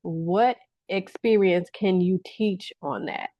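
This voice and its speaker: A middle-aged woman coach talks viewers through a workshop in a somewhat low voice. She is encouraging and repeats her points for emphasis. The recording is dry and a little dull, with no room sound. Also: slow pace, dry recording. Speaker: business coach. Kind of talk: workshop-style video talk to viewers.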